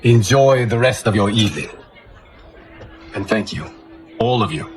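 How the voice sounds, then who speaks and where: An actor playing a noble, solemn guardian god in a video game, with a formal, measured, dignified delivery. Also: male voice, on the low side, animated, a faint crowd. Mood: calm, gracious. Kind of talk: dramatic video game cutscene acting. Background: music.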